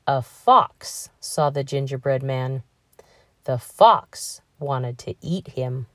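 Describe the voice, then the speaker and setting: A woman narrating a children's story as an expressive read-aloud host. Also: extremely low pitch, slow, no room sound, studio quality. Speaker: storybook reader, channel host.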